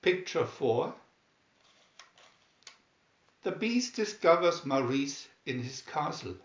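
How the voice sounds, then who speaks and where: Guy reading out storybook captions real slow and deliberate, kinda high voice, roomy sound. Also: very animated, lo-fi sound. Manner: deliberate, announcing each caption. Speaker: narrator reading a picture-reel booklet. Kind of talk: scripted storybook narration.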